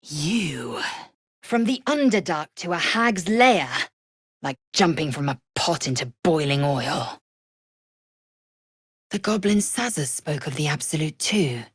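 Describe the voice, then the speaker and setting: A woman playing a proud, fierce githyanki warrior in a fantasy video game, with a husky, raspy voice and a clipped, imperious, formal delivery. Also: deep voice, lively intonation, takes it slowly, long pauses, no echo, clean studio sound, exaggerated voice. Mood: brusque, observant.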